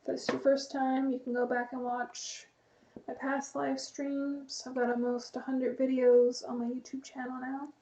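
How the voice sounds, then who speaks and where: High, gravelly, flat-toned woman streamer. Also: moderate pace, rough recording. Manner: relaxed, rambling, chatty with light laughs. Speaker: live streamer. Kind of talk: casual chat to viewers, reading chat aloud.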